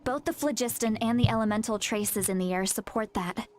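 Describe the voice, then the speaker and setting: A woman's voice: a little high, a little fast, close to an everyday voice. A woman voicing a proud, irritable shaman grandmother with a youthful voice in an anime video game, huffy and muttering to herself. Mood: assured.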